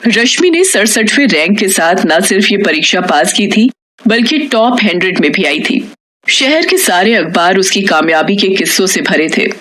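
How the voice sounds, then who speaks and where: A woman's voice: mid-range voice, expressive, moderate pace, fairly bright tone, poor audio. A woman reading a scripted moral tale as storyteller, in narration.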